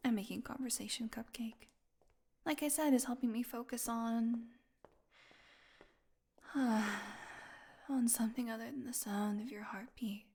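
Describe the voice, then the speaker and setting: A woman playing a weakened, hungry female vampire in an intimate audio roleplay, sarcastic and teasing, with a breathy voice that often drops into a whisper. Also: a little high, fairly even intonation, darkish tone. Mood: weary, teasing. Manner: sarcastic, breathy murmurs, drawn-out weary delivery.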